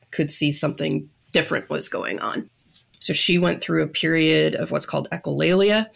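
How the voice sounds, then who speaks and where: A middle-aged woman with a Canadian accent, telling her story in a measured, reflective, conversational way as an interview guest. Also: low voice, vocal fry. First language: English.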